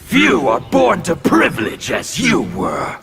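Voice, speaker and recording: An actor playing a menacing male anarchist warrior in a video game, with scornful, threatening delivery and a gravelly voice. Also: high-pitched, wildly swooping pitch, measured pace, a bit bright, soft background music, full character voice. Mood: defiant. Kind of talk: video game intro dialogue.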